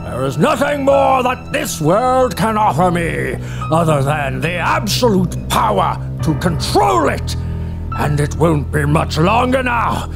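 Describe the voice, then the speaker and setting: A man plays a power-mad doctor barricaded away, raving in a gravelly voice. He speaks slowly with wild swings in pitch. Music plays under him in this audio drama. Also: sing-song, exaggerated voice. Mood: megalomaniac.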